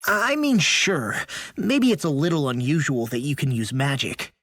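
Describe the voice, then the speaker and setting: Animated, awkward teenage boy. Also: a little high, normal speed, dry recording, clean studio sound. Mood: awkward reassurance. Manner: casual, awkward, hesitant. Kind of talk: dramatic video game acting.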